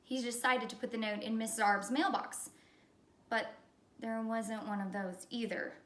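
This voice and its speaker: A woman reading a children's story aloud in an expressive, teacherly storytelling way. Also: fairly high pitch, a little slow. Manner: expressive storytelling with character dialogue. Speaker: elementary school teacher. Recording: echoey room.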